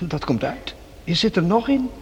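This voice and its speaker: A man's voice: sits high, very animated, moderate pace, muffled recording, slight background noise. An actor playing an eager, kind-hearted boy in a radio play, earnest and a little hesitant. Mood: reassuring. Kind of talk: radio drama acting.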